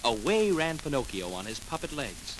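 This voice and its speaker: A man narrating a children's story dramatically, with clear enunciation, in a 1940s record style. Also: medium pitch, big pitch swings, moderate pace, background noise. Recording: old 78 rpm record with music.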